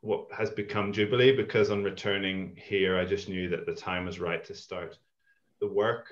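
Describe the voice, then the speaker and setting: A man's voice: sits low, fairly even intonation, normal speed. A man in his thirties, a community farm founder who grew up in Ireland and Malawi, talking in a thoughtful, rambling way as a guest on a video call. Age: 30s. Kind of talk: casual interview chat over video call. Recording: echoey, dull lo-fi call audio.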